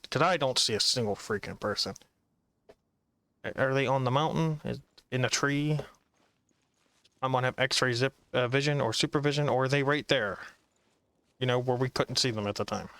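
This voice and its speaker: Casting: male streamer, mid-pitched and sharp, casual grumbly play commentary over game music. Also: a little fast, no room sound. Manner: rambling, grumbling. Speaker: gaming streamer.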